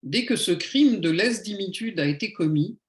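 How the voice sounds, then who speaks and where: A woman giving a prepared public lecture in a didactic, rhetorical manner, with emphatic phrasing and deliberate pauses. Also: medium pitch, lively intonation. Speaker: lecturer, conference speaker. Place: indoor room at a public talk. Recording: echoey room.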